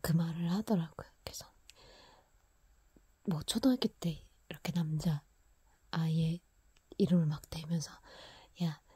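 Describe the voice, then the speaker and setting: A woman whispering a personal story into a close microphone, laughing quietly now and then. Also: deep voice, moderate pace, pauses often, husky, no echo.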